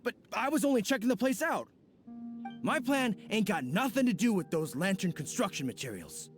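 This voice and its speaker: An actor playing a young masked apprentice thief who is bumbling and folksy, speaking with a slangy drawl. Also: male voice, sits high, big pitch swings, moderate pace, over music. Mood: scheming. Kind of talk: video game voice acting.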